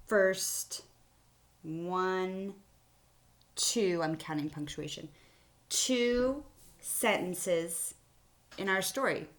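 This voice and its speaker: A woman teacher giving a children's writing lesson in simple, explanatory phrases. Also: mid-range voice. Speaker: elementary school teacher. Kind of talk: read-aloud writing mini-lesson for children.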